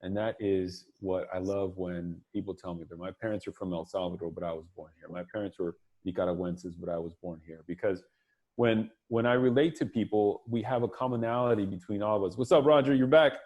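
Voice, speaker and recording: A man speaking as a panelist on a video call, relaxed and conversational, leaning on "you know" a lot. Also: sits low, brisk. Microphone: video call mic.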